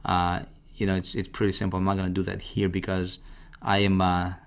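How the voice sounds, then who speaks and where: A man presenting a casual, informal software tutorial, talking directly to the listener and often checking that they follow. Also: sits low, monotone, fairly quick, clear, resonant tone. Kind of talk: casual screen-share product walkthrough.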